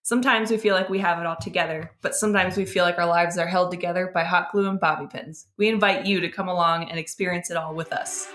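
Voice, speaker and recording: A woman's voice: lowish voice, fairly even intonation, fast talker, hardly any pauses, a bit bright. An American woman co-hosting a podcast in casual, conversational talk. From southern New Jersey, United States. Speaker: podcast co-host. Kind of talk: casual chat.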